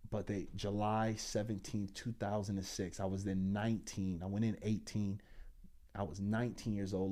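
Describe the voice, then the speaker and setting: A man in his twenties, a pastor and speaker, telling his story as a podcast guest in animated, slangy talk. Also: lowish voice. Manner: animated, slangy storytelling that climbs loud when excited.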